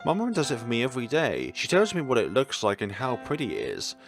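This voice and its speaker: Man playing a shy schoolgirl, blind, gentle, earnest, hesitant, swooping intonation, visual novel read-aloud, soft guitar backing. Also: mid-range voice, wildly swooping pitch, quick pace, creaky. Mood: open, pleased.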